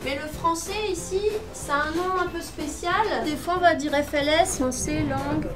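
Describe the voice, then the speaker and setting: A woman's voice: high-pitched, steady tone, normal speed. A woman French teacher explaining things simply, step by step, to learners. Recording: music over the voice.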